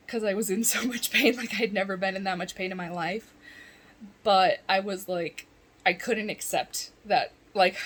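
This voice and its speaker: A woman vlogging in a casual chat from bed at home, congested and rambling, often laughing. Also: mid-range voice.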